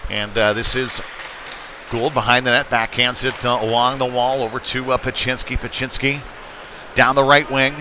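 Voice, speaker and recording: A man's voice: mid-range voice, level tone, moderate pace. Man calling youth hockey over rink noise. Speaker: play-by-play hockey commentator. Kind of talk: live sports commentary. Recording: noisy rink with clear background noise.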